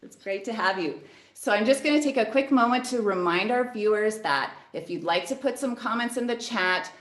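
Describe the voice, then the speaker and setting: A woman's voice: fairly high pitch, expressive, moderate pace, echoey room. A woman hosting a casual video-call interview, asking friendly, encouraging questions. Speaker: host, pianist.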